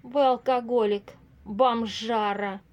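Lady acting fretful kind woman in a radio play, high voice, slow talker, exasperated but earnest. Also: steady tone, muffled recording. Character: exasperated, kind-hearted single woman hosting an unwelcome ghostly guest. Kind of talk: amateur audio play acting.

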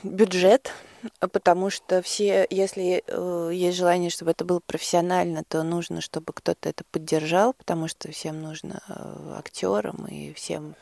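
Lowish, creaky-voiced woman explaining in interview. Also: little pitch movement, moderate pace, a little mellow, dry recording. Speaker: studio guest, project organiser. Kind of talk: unscripted interview talk.

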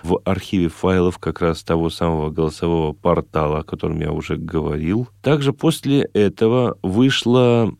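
A man talking as an interview guest, explaining his creative work in measured, rather formal phrases. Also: low-pitched, creaky, a little mellow, dry recording, clean studio sound. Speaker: guest, chamber singer and radio play maker.

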